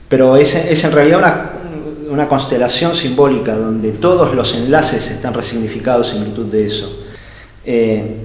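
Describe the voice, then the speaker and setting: A man thinks aloud in an unscripted philosophical talk, pausing and restarting as he hunts for the right words. His voice sits at an ordinary middle pitch. The sound rings through a large reverberant hall. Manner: hesitant, searching for words with many fillers and restarts. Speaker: speaker at an art-gallery think tank. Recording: echoey hall with long reverb.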